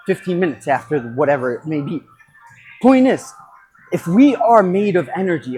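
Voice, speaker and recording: This is a podcast host giving a casual motivational talk in a mid-range voice. The delivery is reflective and emphatic. Phrases are broken by pauses and often repeated to drive them in. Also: mid-range voice, a little mellow, low-quality recording.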